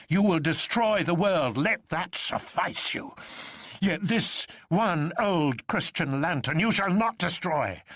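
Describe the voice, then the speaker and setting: A man voicing an impassioned, defiant gentleman swordsman in a dramatised novel reading, declaiming theatrically. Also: medium pitch, breathy edge, dry recording, studio quality, pitched above the performer's own voice, heavily acted.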